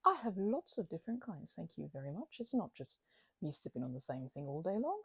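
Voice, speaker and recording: A woman's voice: on the low side, big pitch swings, fairly quick, vocal fry, breathy edge, no room sound, full character voice. A woman playing a chatty, playfully indignant housemate recovering from a migraine, rambling and teasing in an intimate ASMR roleplay. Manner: rambling, hesitant, teasing, mock-offended. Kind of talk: intimate ASMR roleplay, improvised-sounding conversation.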